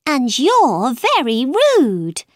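Woman playing an outspoken, high-voiced little girl. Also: wildly swooping pitch, drawn-out words, no room sound, studio quality, exaggerated voice. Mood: indignant. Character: Alice, polite but outspoken little girl.

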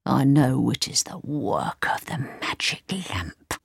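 A woman voicing a scheming old male wizard in a storybook, speaking slowly and gloating. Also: gravelly, a little hiss or hum, full character voice. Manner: slow, low, gloating.

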